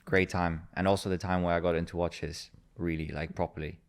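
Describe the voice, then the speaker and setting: A man with a very low, smooth voice chats as a podcast guest. He tells his stories at an easy pace, filling the gaps with fillers and the odd laugh. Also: brisk. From Germany. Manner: relaxed storyteller, many fillers, laughs easily. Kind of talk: casual interview chat.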